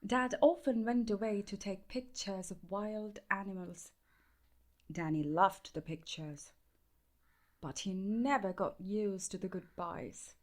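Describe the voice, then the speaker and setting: A woman with an Indian accent reading a children's bedtime story aloud in a measured, expressive way. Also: medium pitch. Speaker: storybook reader.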